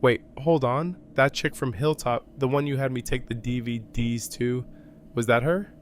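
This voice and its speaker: A man's voice: mid-range voice, steady tone, normal speed, a little hiss or hum, lightly acted. A man playing a nosy, easily impressed slacker with casual slangy speech in an audio drama. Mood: impressed, curious.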